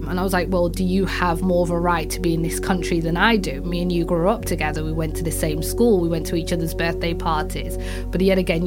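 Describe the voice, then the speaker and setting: A young woman with a Yorkshire accent, telling her personal story. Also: on the low side. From Yorkshire, England.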